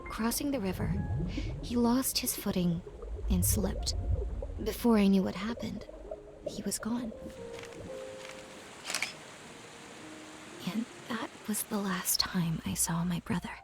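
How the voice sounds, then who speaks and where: Young woman played by an actress, wistful student, first-person game storytelling, reflective delivery, middle pitch, clear music behind. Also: unhurried, lightly acted. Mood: sad, hushed.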